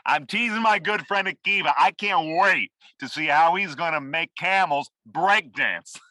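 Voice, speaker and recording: A man's voice: fairly high pitch, measured pace, bright, forceful tone, no echo. A man playing a washed-up, blustering, desperate movie director in a table read, profane, theatrical and needy. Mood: bluffing showman. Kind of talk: acted screenplay table read.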